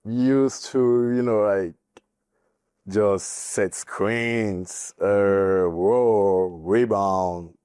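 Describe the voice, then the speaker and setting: A young man from Cameroon, a college basketball player giving a podcast interview, speaking haltingly with many fillers. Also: fairly low pitch, warm tone, smooth, no room sound, studio quality. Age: young adult. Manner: halting, filler-heavy, nervous.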